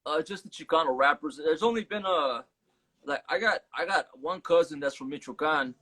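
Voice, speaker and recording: A man's voice: a little high, normal speed, bright, forceful tone. A man from Las Vegas, a guest rapper, talking casually in a live-stream interview and mixing Spanish words into laid-back street talk. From Las Vegas, USA. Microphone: phone on a live stream.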